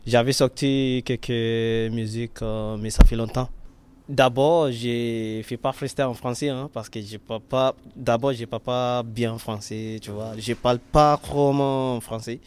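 Slow, hesitant young Nigerian man. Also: medium pitch, fairly even intonation, a little mellow, smooth. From western Nigeria. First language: Yoruba. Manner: hesitant, many fillers and restarts. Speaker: interviewee, artist and freestyle rapper. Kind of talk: casual interview in learner French.

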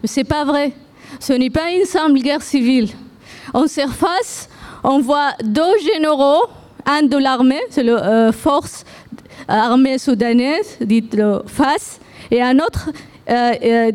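Sudanese woman, Arabic accent, slow and measured, hesitant speaker at a public meeting. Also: sits high, a little mellow. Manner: hesitant, halting, many fillers and restarts. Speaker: association representative. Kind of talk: public talk at a gathering.

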